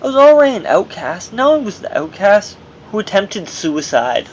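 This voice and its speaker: A man's voice: high-pitched, sing-song, moderate pace, highs cut off, slight background noise. A man reading his own memoir aloud as narration, in a personal first-person delivery with dramatic emphasis, on an amateur recording.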